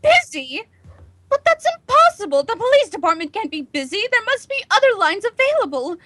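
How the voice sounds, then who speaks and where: An actress playing a nervous, imperious housewife on edge, in a melodramatic 1940s radio drama style. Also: female voice, very high-pitched, big pitch swings, fairly quick, fairly bright tone, no echo, heavily acted. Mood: desperate, panicked. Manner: haughty, melodramatic, agitated. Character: nervous, imperious, panicking housewife alone at night.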